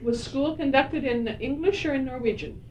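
A woman interviewing an older man for an oral history, asking short follow-up questions and murmuring quiet acknowledgements. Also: normal speed. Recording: distant from the mic with faint rumble.

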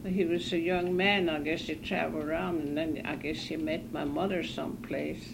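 A woman in her nineties from northern Norway, recalling her life haltingly in an oral history interview in her apartment. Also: low voice, fairly even intonation, creaky. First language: Norwegian. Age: 90s. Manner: halting, hesitant, self-correcting. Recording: hissy 1980s cassette tape.

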